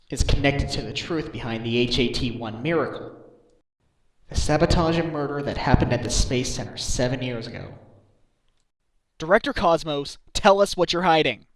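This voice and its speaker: A man playing an earnest, determined young defense lawyer in a video game fandub, probing with deliberate, dramatic delivery. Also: medium pitch, lively intonation, low-quality recording. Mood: accusing. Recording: amateur recording with music.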